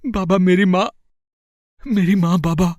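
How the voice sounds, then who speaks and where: A man's voice: sits high, fairly even intonation, unhurried, a little mellow, dry recording, clean studio sound. A man playing a poor, humble fruit seller in a moral story, speaking in an emotional, pleading way. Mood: tearful pleading. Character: poor, despairing young male fruit seller, humble and devoted. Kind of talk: dramatised moral story acting.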